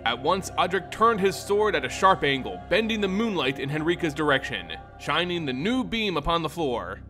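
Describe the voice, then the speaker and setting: A man reading a fantasy tale as a dramatic narrator, with theatrical, deliberate phrasing. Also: fairly high pitch, very animated, sharp tone, faint music behind. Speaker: storyteller, narrator.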